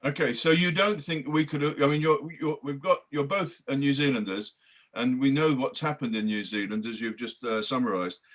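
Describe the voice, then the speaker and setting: A man's voice: medium pitch, normal speed, fairly bright tone. An older man interviewing politicians over a video call, probing and pressing to finish his questions. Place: room at home.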